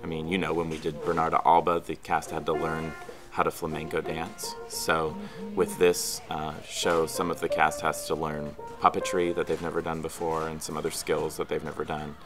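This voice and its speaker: A man's voice: rumbling low, completely flat delivery, normal speed. A man in his thirties, a theatre director, talking in a documentary interview. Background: music.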